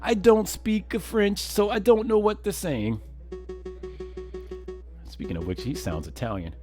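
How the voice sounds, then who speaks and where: A man's voice: sits high, animated, unhurried, darkish tone. A man streaming a game, chatting casually in between reading out the dialogue. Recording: music behind the voice.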